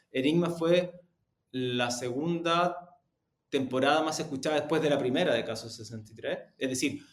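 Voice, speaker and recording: A man with a Chilean accent answering interview questions reflectively, often rephrasing and qualifying what he says. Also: mid-range voice. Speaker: interview guest, podcast production executive. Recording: roomy, echoey sound.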